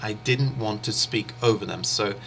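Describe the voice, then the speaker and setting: Low, bright-voiced man explaining a lesson. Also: vocal fry, slight background noise, low-quality recording. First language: English. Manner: measured, steady explaining with frequent pauses and fillers. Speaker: interpreting instructor. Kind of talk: explanatory online lecture.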